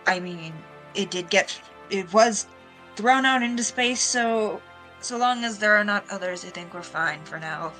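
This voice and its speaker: A woman speaks in character as a ship's captain during a tabletop game. Her voice is bright and clear-cut, at a middling pitch. Light music sits behind her. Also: a little slow. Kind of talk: in-character tabletop role-play chat.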